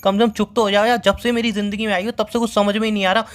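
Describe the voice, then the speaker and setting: A man acting as a young boyfriend at the end of his patience, talking fast. He argues back with sarcasm and pleading, repeating his phrases in exasperation. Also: high voice, little pitch movement, close to an everyday voice. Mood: exasperated. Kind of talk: heated relationship argument, recorded-call skit.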